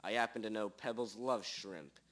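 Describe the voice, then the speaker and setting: A man's voice: moderate pace, fairly bright tone, lightly acted. A young-sounding amateur actor, a man playing an impatient, self-important private detective with formal, theatrical delivery in a radio drama. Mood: deducing. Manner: formal, theatrical interrogating, condescending to sidekick. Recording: low-quality amateur recording.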